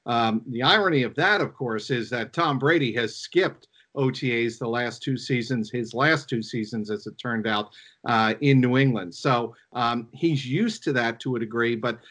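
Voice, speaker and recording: Guy doing sports analysis, mid voice, kinda halting, lots of pauses. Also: mid-range voice, a bit bright. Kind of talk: unscripted sports commentary.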